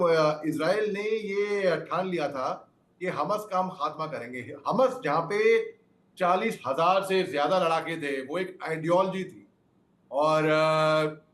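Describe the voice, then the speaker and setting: An echoey recording of a man with a slightly high voice. He gives news panel commentary as a military guest. His delivery is halting, with repeated phrases and Urdu-flavoured vocabulary. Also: a bit bright. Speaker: retired army major, news panel guest. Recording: echoey room sound.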